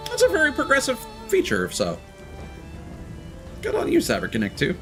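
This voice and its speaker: A man's voice: extremely high pitch, wildly swooping pitch, normal speed. A man giving casual, rambling live commentary as he thinks aloud through a video game. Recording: music behind the voice. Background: game music.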